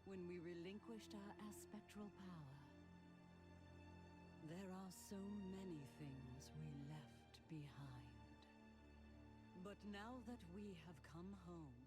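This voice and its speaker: Slow, stately dragon queen. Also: mid-range voice. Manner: stately, measured, formal. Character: regal, solemn female dragon queen, grave and benevolent. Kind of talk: scripted fantasy game dialogue. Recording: loud orchestral game music behind. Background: loud music.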